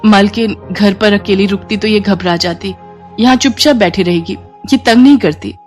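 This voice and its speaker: A woman plays a humble housemaid and mother in a moral story. She speaks meekly and gently, with an anxious, caring air. There is music in the background. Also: medium pitch, normal speed, natural voice. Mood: meek, pleading.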